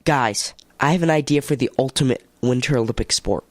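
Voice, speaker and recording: A man's voice: medium pitch, a little fast, dry recording. A man on a radio comedy panel, joking in casual banter.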